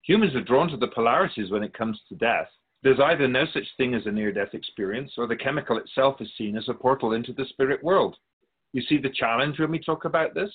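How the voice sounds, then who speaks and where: Man playing a husky, patient all-knowing spirit. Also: mid-range voice, fast talker, phone-quality sound, close to an everyday voice. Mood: calm, probing. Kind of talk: scripted radio drama dialogue.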